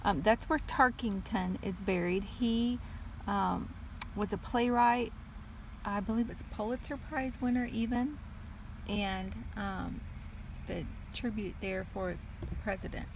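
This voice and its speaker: Woman vlogging over clear outdoor noise. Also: mid-range voice, slow. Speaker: family vlogger and tour guide. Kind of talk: casual outdoor vlog narration, partly reading aloud. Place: outdoors and in a car at a cemetery.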